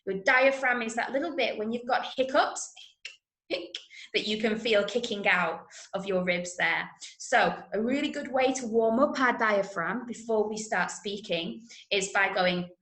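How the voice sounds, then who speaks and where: A woman with an English accent teaching an online drama workshop, enunciating clearly and coaching with encouragement. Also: mid-range voice, unhurried, fairly bright tone. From Manchester, England. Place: indoor room, online video call. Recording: roomy, echoing video-call sound.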